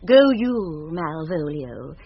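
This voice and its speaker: A woman's voice: low-pitched, sing-song, slow pace, full character voice. An actress playing a commanding noblewoman in mourning in radio Shakespeare, with stately theatrical diction. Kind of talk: 1970s radio Shakespeare acting. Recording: dull, hissy vintage radio recording.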